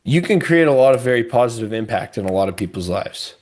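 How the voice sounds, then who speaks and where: A man's voice: mid-range voice, lively intonation, fast talker, a little mellow. A man co-hosting a podcast, talking in an emphatic, expansive way and thinking aloud as he goes. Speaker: podcast co-host. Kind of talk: casual podcast chat.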